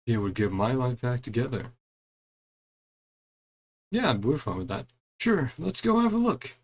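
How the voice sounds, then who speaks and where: A man's voice: mid-range voice, sing-song, unhurried. A man giving casual commentary on a game as he plays, musing aloud and reading bits of dialogue. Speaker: gaming streamer.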